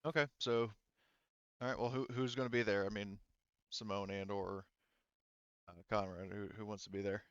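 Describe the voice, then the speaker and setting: A man narrating casually as game master of a tabletop roleplaying game, in a halting, conversational way. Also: lowish voice, little pitch movement, clear, resonant tone, no echo, highs cut off.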